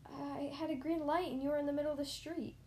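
Storybook rodent friend, male, voiced by a woman: squeaky, raised pitch, sheepish and apologetic. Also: fairly even intonation, quick pace, darkish tone, a little hiss or hum, close to an everyday voice.

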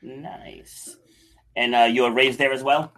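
A man's voice: mid-range voice, steady tone, slow, pushed and bright. A man hosting a podcast interview, asking questions and dropping in short affirmations between them. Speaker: podcast host.

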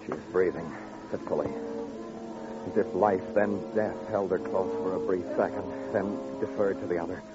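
Muffled old radio recording with prominent music behind it. An actor plays a hardboiled male police detective narrating his case in a low voice. He is wry and world-weary, brooding over each clipped phrase in classic radio noir style. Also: fairly even intonation, moderate pace, lightly acted. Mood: tense, hushed. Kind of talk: 1950s radio crime drama acting, noir narration.

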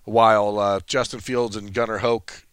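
A man giving quick, assured college football analysis in casual sports talk, with a dry sense of humor. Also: on the low side, level tone, a bit bright, clean tone, no room sound.

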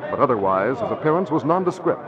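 A man's voice: mid-range voice, big pitch swings, fast talker, crowd noise. A male announcer and narrator of an old radio show, delivering sponsor lines and narration in an emphatic, declamatory way. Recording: muffled old radio broadcast.

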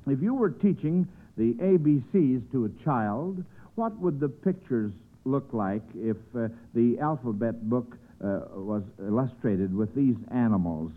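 A man's voice: mid-range voice, expressive, measured pace. An American man hosting an old-time radio quiz show in a folksy, hesitant, encouraging way, reading questions and sponsor messages. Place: radio studio. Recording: tinny band-limited vintage radio broadcast.

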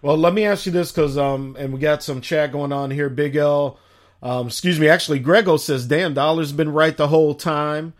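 A man with a raspy voice hosting a casual sports chat show, chatty and animated as he asks questions. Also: a little high, normal speed. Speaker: host.

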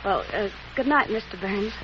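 A woman's voice: medium pitch, moderate pace, close to an everyday voice. An actress playing an earnest, apologetic young woman in a 1940s radio romantic comedy. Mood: earnest, shy. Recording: muffled, noisy old 1940s radio broadcast.

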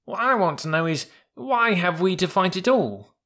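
A man's voice: sits high, lively intonation, moderate pace, a bit bright, pitched above the performer's own voice. A man voicing a young male mule in a storybook, earnest and insistently questioning. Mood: puzzled.

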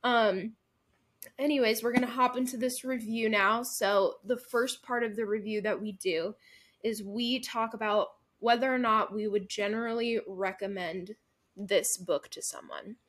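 A woman's voice: on the high side, fairly even intonation, unhurried, a bit bright. A young woman co-hosting a book podcast, recapping and reviewing in a casual, chatty way with lots of fillers. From Midwestern United States. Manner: chatty, frequent fillers and laughs. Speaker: podcast co-host.

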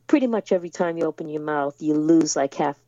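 Hesitant woman guest with a low, mellow voice, heard over lo-fi call audio. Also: quick pace, dry recording. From United States. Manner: hesitant, many fillers and restarts. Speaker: musician guest. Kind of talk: remote interview.

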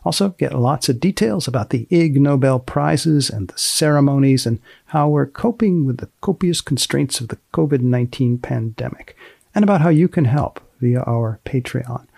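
Gravelly man, deadpan podcast host. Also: mid-range voice, dry recording, studio quality. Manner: deadpan, measured, deliberately formal phrasing. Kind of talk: scripted podcast narration.

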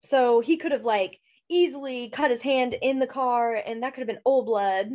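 A woman's voice: a little high, moderate pace, a little mellow, highs cut off. A woman co-hosting a true-crime podcast, chatting casually with a stuffy nose, rambling with lots of fillers and laughs. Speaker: podcast co-host.

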